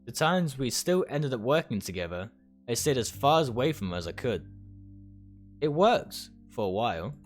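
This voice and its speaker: A man reading first-person game narration aloud dramatically, in short, deliberate phrases. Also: medium pitch, sing-song. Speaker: game streamer reading a visual novel aloud. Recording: music behind the voice.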